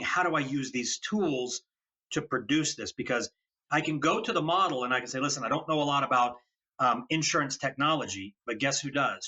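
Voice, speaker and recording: Husky, enthusiastic man on lo-fi audio. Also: mid-range voice, pushed and bright. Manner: enthusiastic, thinking aloud with repeats. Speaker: podcast host. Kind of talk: conversational interview. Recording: dull lo-fi sound.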